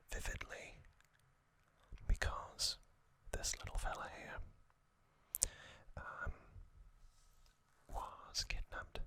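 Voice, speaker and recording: A man whispering as an ASMR host, recounting trivia in a soft, rambling way with frequent pauses and ums. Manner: rambling, personal recollections.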